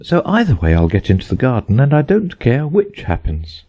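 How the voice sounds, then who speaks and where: Storybook little girl, played by a man: prim, curious, self-admonishing, raised pitch with lively swings. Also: normal speed, slightly rough, muffled recording. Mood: resolute. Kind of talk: storybook audiobook character voice.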